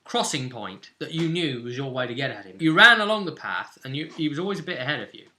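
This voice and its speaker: A man working as game master, casually recapping tabletop game play in an animated, joking way and acting out moments as he goes. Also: medium pitch, fast, a little hiss or hum.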